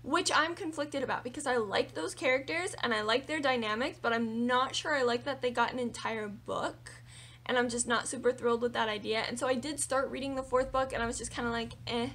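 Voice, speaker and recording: Young woman rambling about books to her cam in her room, bit raspy, kinda high voice, lots of likes and ums. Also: fairly quick. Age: young adult. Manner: animated, chatty, lots of fillers and hand gestures. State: slightly raspy voice from singing. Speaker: book vlogger. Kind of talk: casual monthly reading wrap-up. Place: small room with bookshelves indoors.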